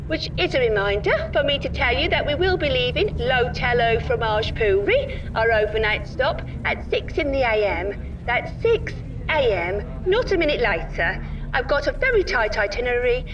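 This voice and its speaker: A strict, officious tour guide, addressing her passengers in a high, lively voice. She sounds distant and muffled, with a crowd around her. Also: moderate pace. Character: bossy, brisk female coach tour guide. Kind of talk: audio play acting, announcement to passengers.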